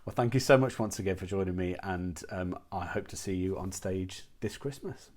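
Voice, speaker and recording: A man's voice: sits low, moderate pace, a little mellow. A man hosting a conversational interview, putting questions and responding to the answers.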